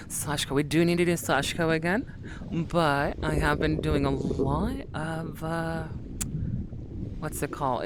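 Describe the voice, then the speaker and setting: A woman's voice: low voice, very noisy. A woman streaming and chatting casually with her viewers, rambling and calling them sweetie.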